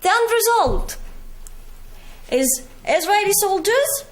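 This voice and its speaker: A woman in her twenties with a Palestinian British accent, earnestly telling her personal story to an audience with halting self-corrections and wry asides. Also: extremely high pitch, animated. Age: 20s. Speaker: speaker giving a talk. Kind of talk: personal testimony talk to an audience.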